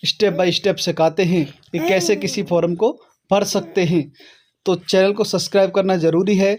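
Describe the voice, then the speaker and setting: A man with a high-pitched voice gives an instructional talk for an online audience. He lays out procedures one step at a time, addressing viewers directly and punctuating his points with small confirmations. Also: darkish tone. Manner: step-by-step, repeatedly checking with "okay". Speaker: host of an online how-to channel. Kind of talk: explanatory tutorial talk to viewers.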